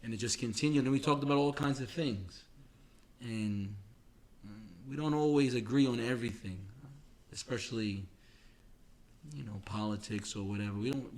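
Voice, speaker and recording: New man in his forties, chatting reflectively. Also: mid-range voice. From Queens, New York, United States. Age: 40s. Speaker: podcast co-host. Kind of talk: casual podcast conversation.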